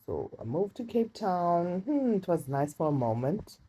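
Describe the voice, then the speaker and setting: A woman with a deep voice casually telling a personal story, chatty and confiding, often repeating words for emphasis. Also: sing-song, a little slow, warm tone, a little hiss or hum, low-quality recording. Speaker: storytime vlogger. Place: indoors while rain falls outside. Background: light rain.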